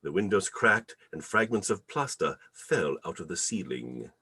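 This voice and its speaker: A man narrating a radio play as its storyteller, with measured, descriptive phrasing. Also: low-pitched, very animated, gravelly, bright, forceful tone, breathy edge, soft background music, exaggerated voice. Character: storytelling male narrator of a Christmas tale.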